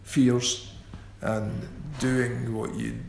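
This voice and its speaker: A man's voice: medium pitch, little pitch movement, creaky, a little mellow. A man who stammers, talking in a radio interview with repeated sounds and restarts. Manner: slow and considered. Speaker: interview guest, musician. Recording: echoey room with faint ambient noise.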